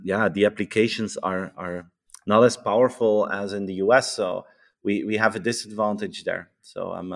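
A man with a Dutch accent, a native Dutch speaker, chatting casually and eagerly, often repeating words as he starts a thought and adding "huh?" tags. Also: lowish voice. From Europe. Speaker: author and podcast guest. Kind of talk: casual conversation.

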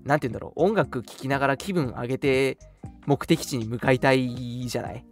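A young man hosts a relaxed-format radio chat. His pitch is average and he explains things conversationally at a moderate lively clip. Soft music plays behind him. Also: fast. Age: young adult. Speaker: radio show host from an audio drama studio. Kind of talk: casual chat on a talk radio show. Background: background music.

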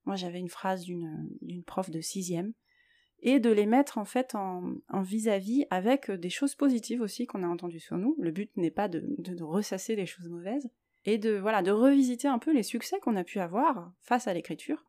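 A woman's voice: medium pitch, lively intonation, normal speed, warm tone. A woman hosting a solo podcast, talking reflectively and hesitantly as she thinks aloud. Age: 30s or older. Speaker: podcast host.

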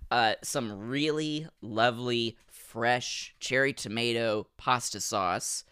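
A man in his thirties co-hosting a podcast, chatting casually, animated and laughing, often restarting his sentences. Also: medium pitch, takes it slowly, clean tone, no echo, studio quality. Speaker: podcast co-host. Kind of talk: casual chat.

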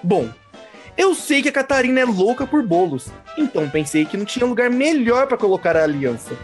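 Man playing a blustering, animated gluttonous suitor. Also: very high-pitched, brisk, faint music behind. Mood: smug, confiding. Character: boorish, gluttonous male suitor, self-satisfied. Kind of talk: comic radio soap acting.

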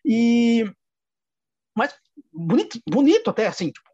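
A man's voice: very high-pitched, big pitch swings, unhurried, mellow, no echo, highs cut off. A man with a Rio Grande do Sul accent, a filmmaker telling stories in a casual interview, with many restarts and laughs. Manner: rambling anecdotes with frequent restarts and laughs. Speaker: filmmaker guest.

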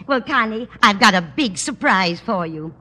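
An actress playing a kindly, scatterbrained older landlady in an old radio comedy, dithery and well-meaning, with a husky voice. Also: mid-range voice, animated, moderate pace, sharp tone. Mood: eager, muddled. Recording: reverberant vintage radio recording.

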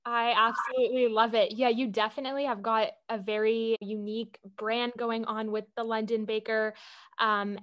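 A woman hosting a podcast with a fairly high voice. She asks her questions in an upbeat, encouraging way and praises her guest often. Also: fairly bright tone. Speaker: podcast host. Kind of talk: interviewing a guest.

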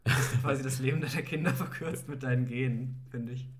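Male co-host, mid-pitched, casual and chatty, episode recap podcast. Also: steady tone, fairly quick. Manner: chatty, explains with fillers like quasi and halt.

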